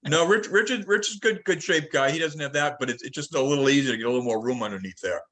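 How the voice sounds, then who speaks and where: Bright, forceful-voiced man moderating a discussion. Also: a little high, quick pace. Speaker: conference call moderator. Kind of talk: technical club discussion.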